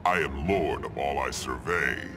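An actor playing a towering alien tyrant god in a video game, cold, imperious and full of pompous contempt. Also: male voice, extremely low pitch, unhurried. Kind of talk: video game villain acting. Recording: loud music and effects behind.

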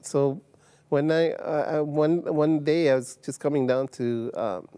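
A man from Uganda in his fifties, telling his life story as a guest on a TV studio set, halting with many fillers and restarts. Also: on the high side, warm tone, smooth, no echo. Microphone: lapel mic.

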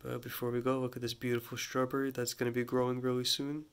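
A man with a stuffy head cold casually demonstrating a gardening task at a kitchen sink, talking in a relaxed, halting way with many restarts. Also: medium pitch, smooth. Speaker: hydroponics gardening channel host. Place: home kitchen at a running sink. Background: running tap water.

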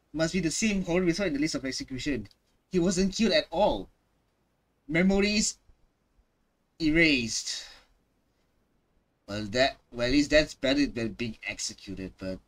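A man's voice: fairly high pitch, low-quality recording. A man giving casual live reaction commentary as a streamer, musing aloud and piecing things together as he goes.

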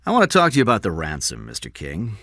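Man acting a brisk, animated police lieutenant. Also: sits low, no room sound, studio quality, heavily acted. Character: male police lieutenant, brisk, frank and practical.

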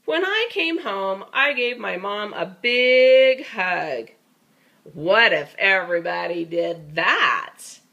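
A woman reading a storybook aloud to a child in an expressive, folksy, playful way with chatty asides. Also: mid-range voice, takes it slowly, creaky, highs cut off.

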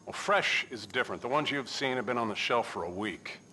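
Jaded male coroner for a game cutscene: mid pitch, sharp tone, dry and sardonic. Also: animated, fairly quick, a little hiss or hum. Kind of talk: video game cutscene acting.

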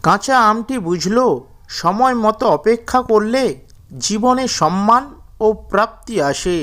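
A woman's voice: medium pitch, a little slow, fairly bright tone. A woman narrator reading a scripted moral story for children.

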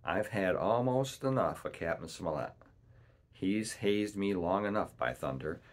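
A man's voice: low-pitched, animated, unhurried. A man voicing a growling, impatient, mutinous pirate coxswain in a storybook, grumbling in rustic seafaring speech.

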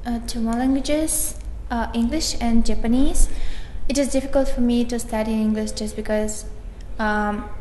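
A young woman from Russia speaking English with a Russian accent, a student being interviewed in a lively, laughing manner. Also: a little high, moderate pace, hardly any pauses. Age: young adult. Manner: animated, laughing, gestures a lot. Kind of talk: casual interview. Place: college lounge by large windows. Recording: echoey room with long reverb and faint hiss.

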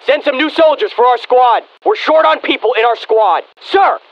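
Casting: urgent American infantryman for a war game, commanding, high-pitched, muffled radio sound. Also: lively intonation, normal speed, dry recording. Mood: brisk, urgent. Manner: clipped, commanding. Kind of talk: military video game unit barks.

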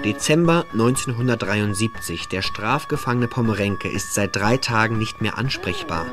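Low-voiced formal male reader of records. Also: animated, moderate pace, over music. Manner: formal, matter-of-fact. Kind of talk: scripted reading of prison files.

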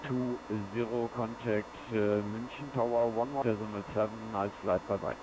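A man with a German accent, not a native English speaker, giving air traffic control calls in halting, apologetic set phrases. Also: lowish voice, steady tone.

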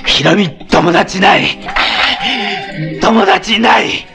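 An actor playing a wild young jungle-raised hero who speaks halting, broken phrases in a 1970s TV action drama. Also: male voice, high-pitched, vocal fry, raised voice, over music, full character voice. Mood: urgent, distressed. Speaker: actor in a TV superhero series.

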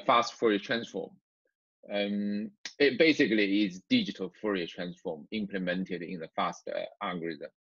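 A man who speaks English as a second language explains software step by step as a lecturer in a screencast tutorial, informal and halting, repeating himself. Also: on the low side, no echo. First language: not English. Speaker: university lecturer. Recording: dull, muffled lo-fi microphone.

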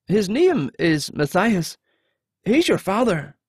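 A man's voice: high-pitched, very animated, slow pace, darkish tone, breathy edge, no room sound, studio quality, voice pushed up, full character voice. A man voicing a frightened, remorseful male deserter in an audiobook, earnest and pleading. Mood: remorseful, grave.

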